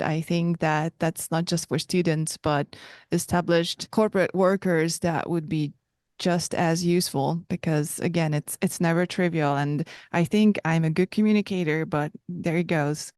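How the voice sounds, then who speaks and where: A woman from Hungary with a Hungarian accent, co-hosting a casual podcast conversation, thoughtful and pausing to search for words. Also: lowish voice, a little mellow, clear, resonant tone, no room sound, studio quality. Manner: thoughtful, restarts and hedges while searching for words. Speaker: podcast co-host.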